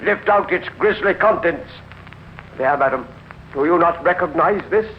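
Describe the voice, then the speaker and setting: An actor playing a stern, sardonic justice with an imperious, formal manner in a 1950s radio crime drama. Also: male voice, fairly high pitch, normal speed, lightly acted. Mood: grimly sardonic. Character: stern, commanding, sardonic justice making arrests. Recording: dull, noisy old radio recording.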